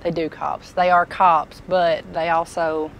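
A woman's voice: fairly low pitch, little pitch movement, unhurried, rough recording. Woman chatting over background noise. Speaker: tool truck dealer showing products. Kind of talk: casual product walk-through chat. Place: inside a packed tool truck.

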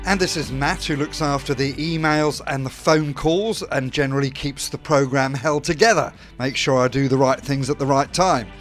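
A man in his sixties presenting a local radio show from a small studio, chatty and jokey, with a clear broadcast delivery. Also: medium pitch, moderate pace, hardly any pauses, fairly bright tone, faint music behind. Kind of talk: radio show chat and behind-the-scenes tour. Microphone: studio microphone, headphones on.

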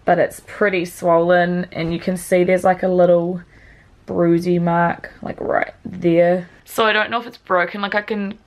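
A young woman in her twenties with a raspy voice, chatting casually to a camera held at arm's length, full of "like" fillers. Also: lowish voice, level tone, normal speed. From New Zealand. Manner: chatty, filler-heavy with frequent "like". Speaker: vlogger. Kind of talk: casual vlog talk to camera. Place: apartment living room and parked car.

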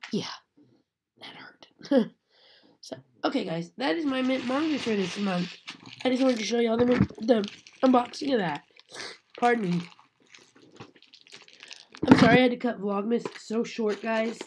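A woman's voice: fairly high pitch, a little slow, long pauses, background noise. Woman, 30s, nasal from a cold; chatty home vlogger, webcam mic. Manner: chatty, apologetic. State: sick with a cold, congested. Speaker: unboxing vlogger. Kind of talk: casual chat to camera. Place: small room indoors. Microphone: webcam at desk.

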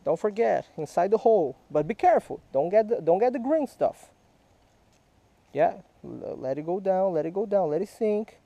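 Man, fishing vlog, high voice, dark mellow tone, dry close sound, outdoors by a creek. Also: expressive, normal speed, dry recording, lo-fi sound. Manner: patient, coaching, quizzing. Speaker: fishing vlogger. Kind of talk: casual teaching chat while fishing. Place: outdoors by a small creek in a park. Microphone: head-mounted action camera.

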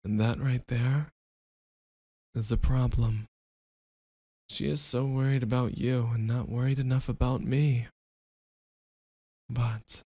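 Man acting as a slow-talking, menacing jealous boyfriend. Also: medium pitch, mellow, husky, no room sound. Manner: slow, deliberate, mocking threats. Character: jealous, menacing bully boyfriend, taunting and possessive. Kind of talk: ASMR boyfriend roleplay.

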